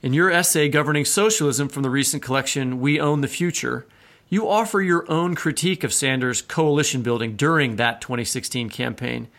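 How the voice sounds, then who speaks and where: A man's voice: mid-range voice, fairly quick, fairly bright tone. An American man co-hosting a podcast, moving between scripted introductions and conversational talk. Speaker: podcast co-host.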